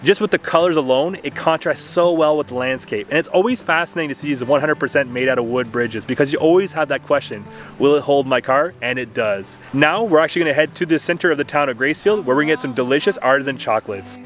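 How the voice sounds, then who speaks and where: Young man, slightly high voice, upbeat travel vlog, outdoor trail, camera at arm's length, faint music behind. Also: fast, a bit bright. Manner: upbeat, joking about the stairs. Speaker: travel vlogger. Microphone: camera held at arm's length.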